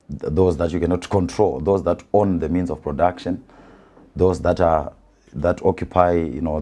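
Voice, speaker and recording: A man from rural Zimbabwe with a Zimbabwean accent, an author explaining his views in an interview with deliberate, emphatic repetition. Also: deep voice, dark tone.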